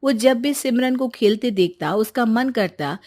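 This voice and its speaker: High-voiced woman storyteller. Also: unhurried, darkish tone. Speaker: narrator. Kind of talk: scripted story narration.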